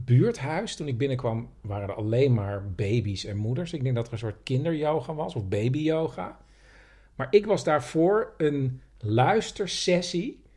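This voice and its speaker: A man with a husky voice chatting casually as a podcast host, hesitantly searching for his words. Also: medium pitch, dark tone. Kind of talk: casual storytelling chat.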